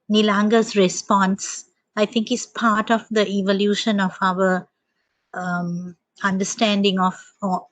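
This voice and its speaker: A woman's voice: mid-range voice, no echo. A woman presenter on a video call panel, answering thoughtfully with frequent hesitations and ums.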